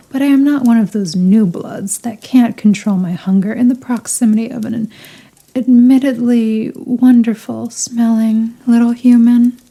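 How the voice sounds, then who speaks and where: A woman playing a haughty, teasing, possessive elder vampire in an ASMR roleplay, speaking softly and seductively in condescending, drawn-out phrases. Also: a little high, vocal fry. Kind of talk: ASMR roleplay, scripted character acting.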